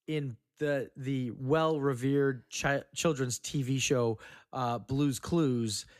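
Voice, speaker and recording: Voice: medium pitch, slow pace. A podcast host casually and playfully leading a word game among friends. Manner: slips into put-on old-time slang voice.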